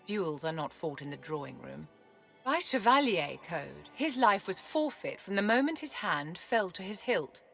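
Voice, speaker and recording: An elegant, imperious enchantress from a fantasy video game. Her low voice is haughty and polished, with a silky threat beneath the courtesy. Faint music plays behind her. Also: sing-song, moderate pace, gravelly, fairly bright tone, exaggerated voice. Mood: poised, courtly. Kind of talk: video game cutscene acting.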